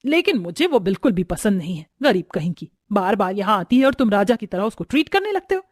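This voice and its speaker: A woman voicing a haughty middle-aged mother-in-law in an animated-style family story, scolding and full of contempt. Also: medium pitch, fast talker, no echo, clean studio sound. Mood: scornful.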